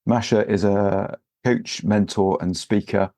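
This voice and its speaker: Man, podcast host, low voice, halting with fillers, dry recording. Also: fairly even intonation, dark tone, no echo. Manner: hesitant, many fillers and restarts. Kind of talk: relaxed interview with scripted intro.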